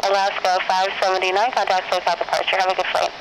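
A male tower controller fires off clipped instructions over a noisy radio. His voice is high for a man and gravelly. He keeps it terse and procedural. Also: monotone, fast. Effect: air traffic radio. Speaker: airport tower air traffic controller. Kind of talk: clipped radio air traffic phraseology. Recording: noisy air traffic radio transmission.

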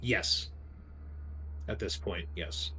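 A man narrating a tabletop roleplaying game as game master, improvising and explaining things in a halting way. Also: sits low, bright, forceful tone.

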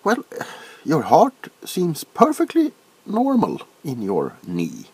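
Guy doing a flustered soft-spoken doctor for funny asmr, slow n stammery, pitch swoops all over. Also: huge pitch swings, husky, heavily acted. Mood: nervous, bewildered. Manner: hesitant, stammering, repeats himself. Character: nervous, awkwardly polite, bewildered male doctor. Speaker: ASMR performer.

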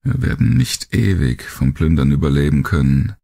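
Narrator voicing a gravelly, hopeful male survivor leader. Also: rumbling low, sing-song, normal speed, dark tone, voice pushed up, full character voice. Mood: earnest, hopeful.

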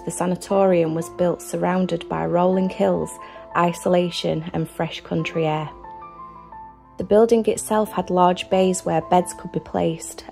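Gravelly-voiced woman vlogger. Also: fairly low pitch. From United Kingdom. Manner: relaxed, chatty, with fillers. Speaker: urban exploration vlogger. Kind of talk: casual vlog chat and scripted narration.

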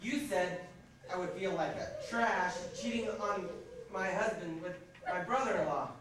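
A young American man acting a literal-minded, put-out husband in a high school stage comedy. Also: unhurried, fairly bright tone. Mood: offended. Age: young adult. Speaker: amateur stage actor. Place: indoor school theatre stage. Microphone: far camera microphone. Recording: distant, echoey, noisy auditorium videotape.

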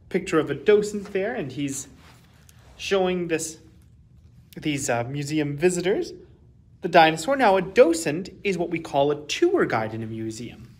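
A man, reading a children's picture book aloud with careful, expressive enunciation as a storytime host. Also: a little high. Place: small indoor room with shelves.

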